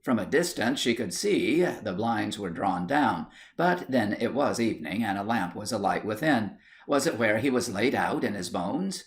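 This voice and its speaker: A man reading a story aloud as scripted literary narration, in an expressive storytelling manner. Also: lowish voice, normal speed, few pauses. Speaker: audiobook reader.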